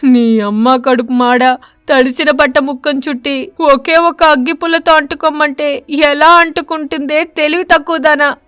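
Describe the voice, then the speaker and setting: A woman voicing an exasperated, henpecked husband crow in an animated story, grumbling with sarcasm. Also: sits high, moderate pace, dry recording, clean studio sound.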